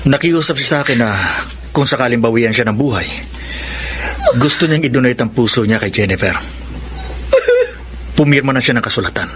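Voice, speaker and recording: A Filipino man acting a sympathetic, composed doctor in a radio drama, measured and earnest. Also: medium pitch, fairly bright tone, a little hiss or hum, natural voice. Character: composed, sympathetic male doctor and family friend.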